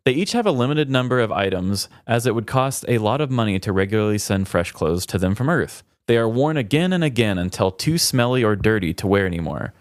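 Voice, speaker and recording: A man's voice: lowish voice, animated, fairly quick, few pauses, no echo. A man co-hosting a comedy podcast in a chatty, wry, casual way, close to the mic. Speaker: podcast co-host. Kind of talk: casual comedic chat, reading trivia aloud.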